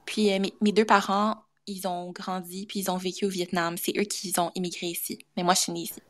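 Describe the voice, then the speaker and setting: Young Québécoise medical student as guest; low, level delivery, hesitant and thoughtful. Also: female voice, little pitch movement, brisk. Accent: Québécois French. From Canada. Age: young adult. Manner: thoughtful, many fillers and restarts. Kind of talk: casual interview talk.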